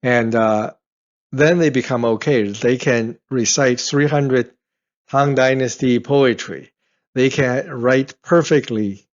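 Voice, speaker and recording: A man's voice: medium pitch, slow. A man from Beijing with a Chinese accent, a native Mandarin speaker, explains the language to beginners. He talks casually and conversationally, with frequent "right?" check-ins and "uh" fillers. His voice sits mid-range, and the audio is a little dull and lo-fi. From Beijing, China. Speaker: Chinese tutor.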